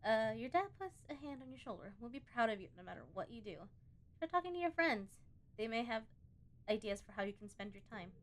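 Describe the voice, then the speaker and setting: A woman doing a casual let's play, reading on-screen game text aloud and breaking off to think out loud. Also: a little high, very animated, fairly quick. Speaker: gaming video host.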